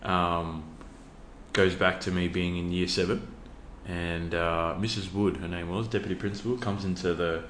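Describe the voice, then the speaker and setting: A laid-back Australian man with a very low voice, chatting as a podcast guest with many ums and pauses. His speech echoes around the room, with a faint hiss underneath. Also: level tone, a bit bright. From Western Australia. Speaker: podcast guest, youth worker. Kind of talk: casual interview talk.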